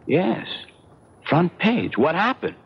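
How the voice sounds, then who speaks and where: An actor playing an uneasy, evasive teenage son in a 1970s radio drama, hesitant and defensive. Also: male voice, high voice, very animated, slow, creaky, husky, full character voice. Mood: nervous. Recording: dull vintage 1970s radio recording.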